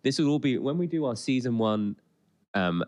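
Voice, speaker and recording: A man's voice: medium pitch, expressive, moderate pace, darkish tone. A man co-hosting a podcast with casual, colloquial banter about television, talking through a sore throat from a cold. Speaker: podcast co-host. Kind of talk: casual banter, TV episode commentary.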